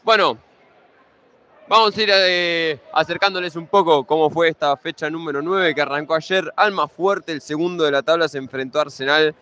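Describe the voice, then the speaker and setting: A young man with an Argentine Spanish accent doing pre-match futsal commentary. His voice is clear and smooth, and sits a little high. He talks in a relaxed way as he runs through results, the league table and team lineups. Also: normal speed. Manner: conversational, reels off standings and lineups.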